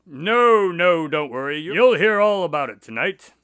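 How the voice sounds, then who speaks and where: An earnest doctor in a radio drama, the loyal sidekick who recounts the cases. His voice sits fairly high and moves in lively swings, yet the delivery stays articulate and conversational. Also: a little slow, clear, resonant tone, dry recording, clean studio sound. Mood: friendly. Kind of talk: audio drama dialogue and storytelling.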